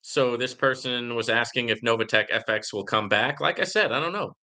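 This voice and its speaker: A man hosting a live Q&A in a casual, conversational and emphatic way, reading comments and often checking in with a quick "right?". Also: medium pitch, bright, forceful tone. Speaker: livestream host answering viewer questions.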